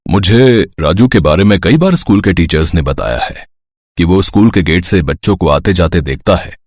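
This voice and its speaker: A man playing a kindly, dignified school principal in a story, measured and benevolent. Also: deep voice, a little mellow, dry recording, studio quality.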